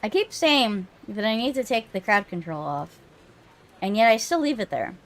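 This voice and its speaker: A woman streamer talks casually as she hosts a game readthrough. Her throat is a little phlegmy and she clears it now and then. Her pitch sits in an average range for a woman. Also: very animated, normal speed. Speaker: streamer hosting a visual novel readthrough. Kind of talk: casual livestream chat.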